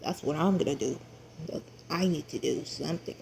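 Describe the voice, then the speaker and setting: A woman's voice: deep voice, normal speed, gravelly, darkish tone, a little hiss or hum, low-quality recording. A woman casually chatting to viewers in an app tutorial, talkative and often dropping into a whisper.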